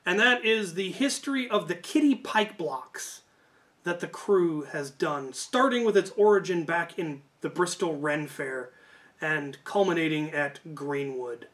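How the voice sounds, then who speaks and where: A man's voice: fairly high pitch, measured pace, fairly bright tone. A man telling stories casually as a video host, in an informal, hedging way that often corrects itself. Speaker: YouTube host. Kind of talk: casual rambling storytelling.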